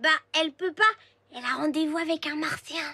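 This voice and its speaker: A woman's voice: sits high, fairly even intonation, quick pace, fairly bright tone. A woman playing a cheeky, argumentative young boy in a cartoon dub, teasing and insistent. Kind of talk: animated series dubbing.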